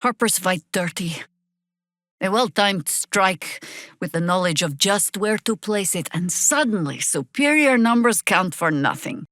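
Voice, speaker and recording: A woman's voice: mid-range voice, lively intonation, a little slow, a bit bright, no room sound. A woman playing an older, seasoned druid warrior in a fantasy video game, wry, dry and commanding in manner. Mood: dry, resolute.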